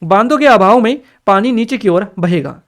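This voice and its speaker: A man's voice: high voice, lively intonation, moderate pace. A man explains exam questions as a coaching teacher, repeating key terms and reminders in an instructional way. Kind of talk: explanatory exam-paper lecture to camera or screen.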